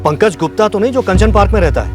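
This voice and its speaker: A man's voice: sits high, expressive, rapid-fire, over music. An actor playing a bitter, sardonic man wrongly jailed and demanding redress in a TV crime drama. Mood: pointed. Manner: indignant, sardonic.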